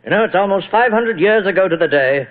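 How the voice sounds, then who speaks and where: Genial, theatrical old British thespian. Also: male voice, high-pitched, lively intonation, fast talker. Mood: affable. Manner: affable, theatrical, polite. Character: genial, flowery old British stage actor. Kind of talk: 1940s radio acting. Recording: muffled, narrow-band old radio recording.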